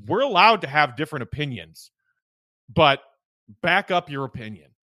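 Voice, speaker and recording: A man with a bright, slightly high voice talks sports as a podcast co-host. He is informal and joking, chatting casually in a very dry recording. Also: big pitch swings, normal speed, no echo.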